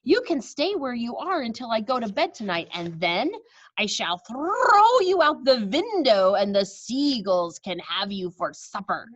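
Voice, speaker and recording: A woman's voice: on the high side, sing-song, muffled recording. A woman voicing an imperious, menacing storybook witch who snaps her words out in long, commanding phrases. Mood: gloating menace. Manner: drawn-out, commanding snapping. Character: imperious, menacing female Grand High Witch, snappish.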